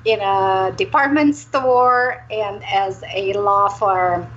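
A woman from Romania who works as a career coach tells her story as a radio guest, candid and animated. Her voice is bright and forward, heard over a dull, lo-fi line with a faint hiss behind it. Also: medium pitch, slow pace.

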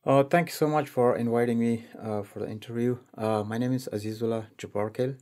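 A man's voice: medium pitch, fairly even intonation, fairly quick, darkish tone. A man, talking as an interview guest in a hesitant way with many fillers and restarts. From Afghanistan. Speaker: guest, civil rights activist. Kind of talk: casual interview talk.

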